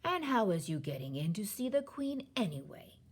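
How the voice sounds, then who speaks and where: A woman voicing a gentle, stubborn, gloomy male giant from a children's storybook, with muddled folksy grammar and invented words. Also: mid-range voice, very animated, moderate pace, slightly rough, voice pushed up. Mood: doubtful, resigned. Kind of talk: children's storybook character reading.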